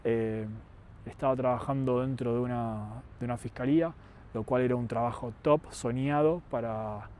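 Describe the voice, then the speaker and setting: A young man with an Argentine accent, giving a reflective personal monologue in a deliberate, earnest, articulate way. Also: mid-range voice, steady tone, a little mellow, a little hiss or hum. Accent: Argentine Spanish. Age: young adult. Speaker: vlogger.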